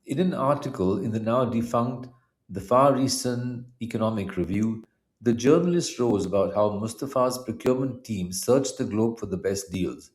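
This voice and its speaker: Middle-aged Indian man narrating, measured and formal. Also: on the low side, expressive, darkish tone. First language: Punjabi. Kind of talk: scripted narration of a personal history essay.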